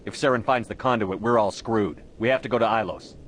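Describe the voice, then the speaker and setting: An actor playing a hard, resolute military commander in a sci-fi video game, terse and blunt. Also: male voice, fairly low pitch, a little fast, a bit bright, a little hiss or hum, lightly acted. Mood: firm, angry. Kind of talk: dramatic video game acting.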